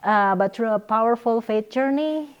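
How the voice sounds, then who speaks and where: A woman's voice: fairly high pitch, normal speed, clear, resonant tone, muffled recording. Woman co-hosting. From Indonesia. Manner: hesitant, many fillers and restarts. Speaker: co-host.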